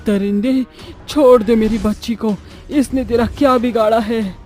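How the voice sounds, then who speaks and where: A man's voice: very high-pitched, fairly even intonation, unhurried, darkish tone, faint music behind. A man voicing a fiercely protective, vengeful ghost mother turned witch, with menacing dramatic delivery in a horror cartoon. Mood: desperate fury. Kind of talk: Hindi horror cartoon acting.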